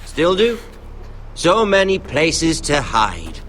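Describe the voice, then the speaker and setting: An actor playing a sadistic young lord in a fantasy game, mock-polite, taunting and theatrical. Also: male voice, fairly high pitch, wildly swooping pitch, fairly bright tone, slight background noise, full character voice. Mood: smug, taunting. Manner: taunting, theatrical, drawn-out words with sudden swings. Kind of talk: dramatic fantasy video game acting. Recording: music behind the voice.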